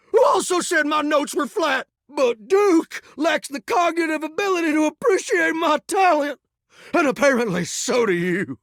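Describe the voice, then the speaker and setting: A man's voice: high voice, a bit bright, no room sound, studio quality, full character voice. A man voicing a flirty, dramatic living shower who dreams of singing stardom, with a folksy, effusive Southern American accent, in a video game. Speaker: dateable character in a video game. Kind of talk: animated video game voice acting.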